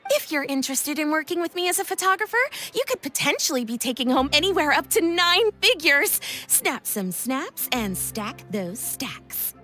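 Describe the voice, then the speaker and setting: Lapine Pauline, bright high-voiced young inventor. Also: female voice, big pitch swings, moderate pace, few pauses, soft background music, full character voice. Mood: confident, persuasive. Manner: bubbly, persuasive, explanatory, theatrical. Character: Lapine Pauline, confident, enthusiastic young female tech inventor. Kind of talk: video game voice acting.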